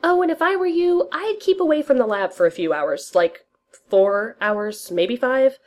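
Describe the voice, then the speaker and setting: A woman's voice: lively intonation, unhurried. A woman voicing a cocky, teasing male inventor in a fan fiction reading, murmuring and breezy by turns. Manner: low murmured whisper, then breezy and cheerful.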